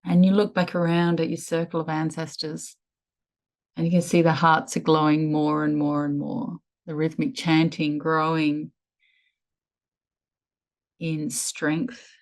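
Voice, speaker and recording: Woman, meditation guide, deep register, flat steady intonation, soothing, measured delivery, lingering pauses.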